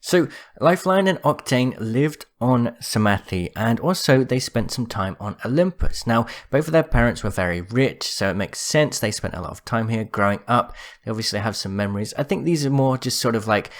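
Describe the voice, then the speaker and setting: Conversational male gaming commentator, medium pitch. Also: animated, moderate pace, hardly any pauses. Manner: conversational, speculative. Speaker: gaming video host. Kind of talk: explanatory commentary on game lore.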